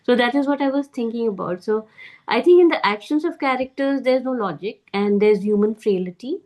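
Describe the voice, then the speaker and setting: A woman's voice: on the high side, animated, a little fast, darkish tone, no room sound. A woman shares her views in a casual book club discussion.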